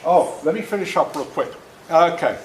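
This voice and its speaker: An elderly man tells a story to children in a folksy, animated manner. His fairly high voice moves through a lively range of pitch. He sounds like he is in a roomy indoor space with some echo. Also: normal speed. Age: 70s. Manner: folksy, interactive, acts out moments. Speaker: author reading his book to children. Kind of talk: animated storytelling read aloud to a young audience. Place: indoor room before a school audience. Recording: roomy echo, faint background noise.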